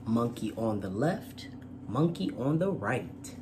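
A man's voice: mid-range voice, big pitch swings, slow pace, noisy background. A man presenting and reading aloud as host of a children's storytime, with a courteous manner. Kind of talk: storytime read-aloud and channel chat.

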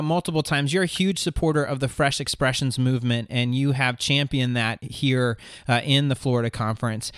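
A man's voice: on the high side, clear, resonant tone, no room sound, clean studio sound. A man hosting a podcast, interviewing a guest in a conversational style. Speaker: podcast host.

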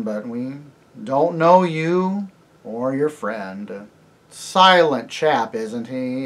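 A woman's voice: very deep voice, heavily acted. A woman voicing a gloomy, cautious marsh creature who speaks stiffly, bluntly and on his guard.

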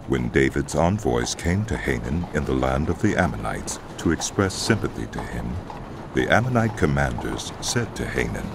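A man narrating a dramatized scripture audiobook in a solemn, deliberate storytelling style. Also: very deep voice, big pitch swings, vocal fry, noisy background. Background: music.

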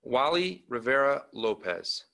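A man formally reading out graduate names as a commencement announcer, in a measured, clearly enunciated way. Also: medium pitch, sing-song, pushed and bright.

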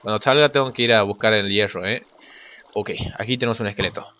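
Low-voiced man doing muffled gaming commentary. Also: fast, sharp tone. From Argentina. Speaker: gaming video creator. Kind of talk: casual let's play commentary. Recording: muffled lo-fi mic, clear noise and clicks.

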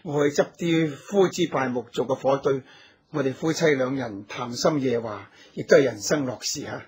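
An actor playing a resolute, caring heroic husband in a radio drama. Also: male voice, mid-range voice, moderate pace, darkish tone, slightly rough. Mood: tender, caring. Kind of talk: Cantonese radio drama acting.

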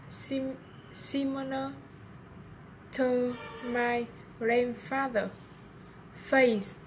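A young woman with a Vietnamese accent practices English words very slowly, one at a time, repeating the hard sounds. Her voice sits somewhat high and is caught by a phone held close in a small bathroom with a faint hiss behind her. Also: rough recording. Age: young adult. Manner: slow, word-by-word practice with stumbles. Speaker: language student. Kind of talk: reading pronunciation homework aloud. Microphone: webcam or phone at arm's length.

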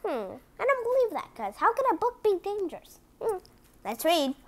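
A woman hosting a children's picture book read-aloud, reading deliberately word by word and chatting to her listeners. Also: extremely high pitch, huge pitch swings.

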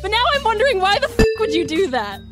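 An earnest little boy fox sidekick played by a woman, very high and chatty. The delivery is hesitant but matter-of-fact, as in a homemade cartoon game dub, over faint music. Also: big pitch swings, fast talker. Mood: puzzled. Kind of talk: improvised comic fan dub of a video game.

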